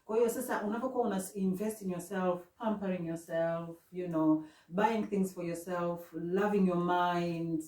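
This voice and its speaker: A woman's voice: fairly low pitch, normal speed, hardly any pauses, a little mellow. A young woman with a Kenyan accent, hosting a casual chat and giving earnest advice. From East Africa. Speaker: host. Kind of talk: casual chat, mixing English and Swahili. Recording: echoey room, voice far from mic.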